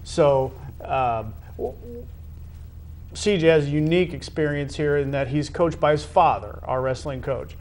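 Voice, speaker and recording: A man hosting a sports interview, asking long conversational questions in halting, wordy phrasing with repeated words. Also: on the high side, darkish tone. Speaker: interview host.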